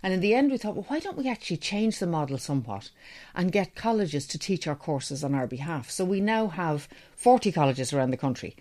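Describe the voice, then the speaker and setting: A woman's voice: fairly low pitch, very animated, brisk, a little mellow. A middle-aged Irish woman, an interview guest, talking chattily and with enthusiasm about her training business. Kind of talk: casual interview chat.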